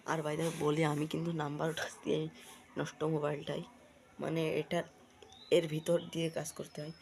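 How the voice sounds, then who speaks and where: A man's voice: on the high side, completely flat delivery, normal speed, vocal fry, a little mellow, slight background noise. A man with a Bangladeshi accent chatting informally to viewers as a video host, with repetitive running commentary. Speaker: prank-call video host. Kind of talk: casual vlog chat to viewers.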